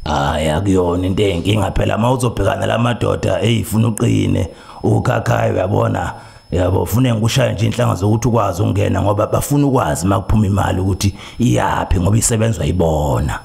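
A South African man voicing a solemn, slow-spoken traditional healer in a prank call, deliberate and hesitant as he repeats himself. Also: on the low side, gravelly, darkish tone. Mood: persuasive.

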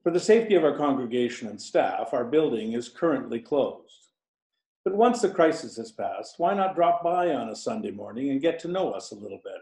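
Male church announcer, scripted delivery, gravelly voice, mid pitch, roomy recording. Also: expressive, fairly quick, darkish tone.

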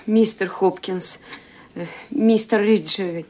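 An actress playing a respectful, helpful housemaid in a radio play. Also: female voice, mid-range voice, fairly even intonation, slow, a little mellow, natural voice. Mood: earnest. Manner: respectful, eager to help. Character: dutiful young female housemaid. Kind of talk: Soviet radio play acting.

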